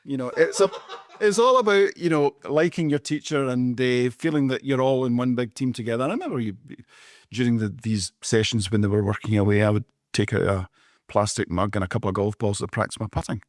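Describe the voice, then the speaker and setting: A man's voice: medium pitch, brisk, no room sound, clean studio sound. A man with a Scottish accent co-hosting a podcast, telling jovial stories about his classroom pranks. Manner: loud, jovial storyteller. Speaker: podcast co-host, former teacher. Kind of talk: casual chat, storytelling.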